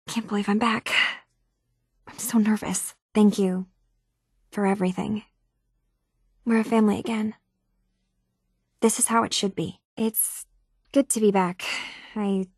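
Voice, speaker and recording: A woman's voice: medium pitch, creaky, darkish tone, no echo, clean studio sound. An actress playing an earnest young woman tinkerer searching for her identity in a video game, speaking in a natural, slightly hesitant conversational way. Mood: relieved, earnest. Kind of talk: naturalistic game dialogue acting.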